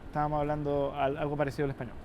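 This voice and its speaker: A man from southern Chile with a Chilean accent, talking in a relaxed, chatty way as an interview guest outdoors. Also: a little high, racing, dull audio, slight background noise. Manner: relaxed, chatty, restarts often, laughs easily. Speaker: interview guest, journalist and photographer. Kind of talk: casual conversation. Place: rooftop patio in Brooklyn, outdoors.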